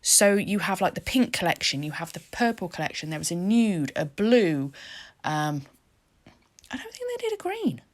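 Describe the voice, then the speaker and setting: A woman's voice: big pitch swings, normal speed. Giggly chatty woman narrating a nail video. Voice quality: slightly deep for a woman. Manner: giggly, rambling, self-deprecating. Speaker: craft channel host. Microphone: voice-over mic.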